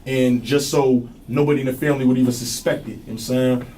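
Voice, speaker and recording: A young man from New with a strong, deep voice, talking as a rapper guest in laid-back street slang. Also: fairly even intonation, fast, warm tone. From New Jersey. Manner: laid-back street slang, keeps saying "you know what I'm saying". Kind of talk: casual interview chat. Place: radio studio. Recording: distant mic with faint hiss.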